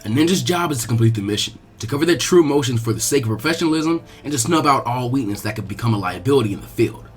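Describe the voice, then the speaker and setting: A man's voice: medium pitch, expressive, quick pace. A man reading a scripted story aloud as a dramatic narrator. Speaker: narrator of fan fiction readings. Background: music.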